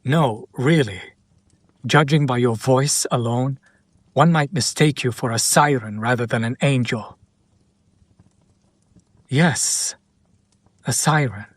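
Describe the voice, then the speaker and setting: A man playing a sardonic, teasing demon in an intimate roleplay audio drama, speaking deliberately and directly to the listener. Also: medium pitch, breathy edge, no room sound. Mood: amused, teasing. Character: male demon buyer, sardonic, teasing, curious about his captive.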